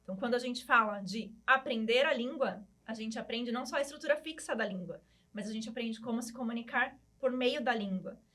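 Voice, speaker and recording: A Brazilian woman with a somewhat high voice. She explains her teaching approach in a calm, measured and orderly way, as a podcast guest. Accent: Brazilian Portuguese. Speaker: Portuguese teacher. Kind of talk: podcast conversation.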